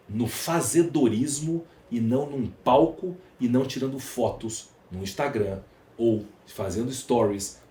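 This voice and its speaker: A man's voice: mid-range voice, mellow. A Brazilian man speaking as a podcast guest, emphatic and rhetorical, building his points through lists and questions. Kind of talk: conversational interview monologue. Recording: echoey room, faint background noise.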